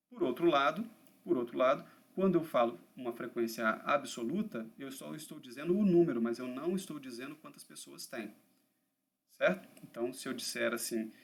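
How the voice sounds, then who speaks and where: A man teaching a basic lesson to students, often repeating himself and checking that they follow. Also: a little high. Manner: repetitive, rephrasing and checking in with "tá?" and "né?". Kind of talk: explaining statistics to a class.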